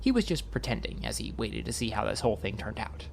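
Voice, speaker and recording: A man narrating a scripted audiobook story in a wry, expressive storytelling manner. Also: on the low side, quick pace, vocal fry, slight background noise.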